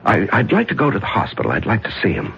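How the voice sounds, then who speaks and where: An actor playing a secretly murderous husband in a 1970s radio drama, theatrical and guarded, with smooth, feigned innocence. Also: male voice, on the low side, huge pitch swings, quick pace, a bit bright, slight background noise, heavily acted. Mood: evasive, casual. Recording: dull lo-fi 1970s radio recording.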